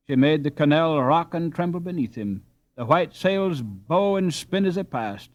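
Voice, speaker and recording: A man reading a storybook aloud as an audiobook narrator, in an expressive, scripted style. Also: fairly high pitch, muffled recording.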